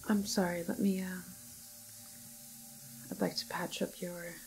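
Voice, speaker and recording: Female ASMR doctor roleplay: hushed and whispery, very slow and halting, soft dark tone, calm clinical manner. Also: fairly low pitch, gravelly, faint music behind, low-quality recording. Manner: hesitant, halting, gentle clinical explaining. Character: calm, apologetic female doctor in a quarantine facility. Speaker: ASMR performer playing a doctor.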